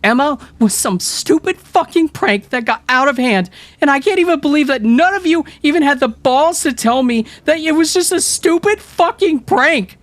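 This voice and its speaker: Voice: sits high, expressive, moderate pace, few pauses, no room sound, voice pushed up. A man voicing a furious teenage girl who screams in a manic, tearful rage. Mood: furious screaming.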